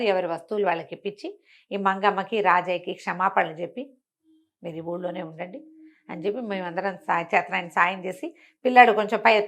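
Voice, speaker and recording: Expressive woman telling a story. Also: mid-range voice. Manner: expressive, explanatory, acts out characters' dialogue. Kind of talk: conversational bedtime storytelling to an interviewer.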